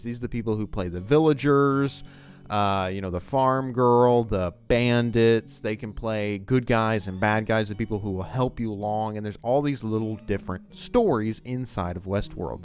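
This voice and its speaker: A man hosting a video essay, explaining things in a loose, conversational and emphatic way. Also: on the low side. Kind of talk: casual, unscripted explanatory commentary on TV writing.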